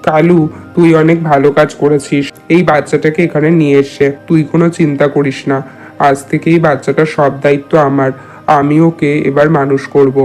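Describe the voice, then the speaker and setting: Man playing a kindly high-pitched village landlord. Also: flat delivery, normal speed, a little mellow, faint music behind, close to an everyday voice. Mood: reassuring. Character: kindly, reassuring village landlord and adoptive father. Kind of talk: cartoon character acting in a Bengali moral tale.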